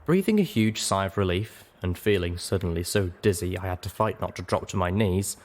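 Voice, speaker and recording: A man reading scripted first-person fiction as an audiobook narrator, with a dramatic, expressive delivery. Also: sits low, brisk.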